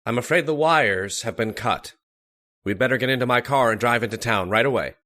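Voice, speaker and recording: An actor playing a calm, practical family doctor who reasons things out in an old-time radio horror drama. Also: male voice, medium pitch, fairly quick, lightly acted. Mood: urgent. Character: steady, reassuring male family doctor.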